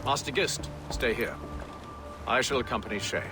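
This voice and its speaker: An actor playing a cultured, coolly stern Grand Master in a video game, with formal, archaic diction. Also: male voice, medium pitch, normal speed, music in the background, lightly acted. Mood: commanding, composed. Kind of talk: cinematic video game acting.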